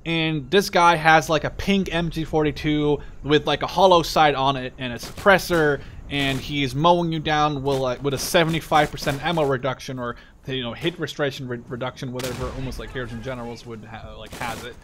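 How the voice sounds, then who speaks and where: A man's voice: medium pitch, steady tone, brisk, few pauses. A young man giving casual gameplay commentary, rambling, sweary and full of opinions. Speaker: gaming video creator giving live commentary. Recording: loud machine-gun game noise behind voice. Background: gunfire and clicks from a war game.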